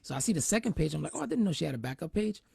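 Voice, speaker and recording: A man from New Jersey hosts a relaxed interview, rambling through fillers and self-corrections. He talks fast, and his voice carries a gravelly edge. The sound is dry and close. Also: fairly high pitch, dry recording. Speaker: host. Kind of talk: casual interview chat.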